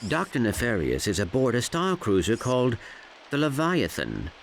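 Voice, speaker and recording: Polite, singsong little robot. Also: male voice, medium pitch, wildly swooping pitch, normal speed, vocal fry, slight background noise. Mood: matter-of-fact. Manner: formal, precise, mild. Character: small, polite, earnest male robot sidekick.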